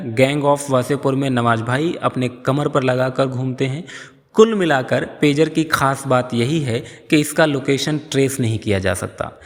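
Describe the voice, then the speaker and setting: A man giving scripted explainer narration in an explanatory, storytelling manner. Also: medium pitch. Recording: long reverb on voice.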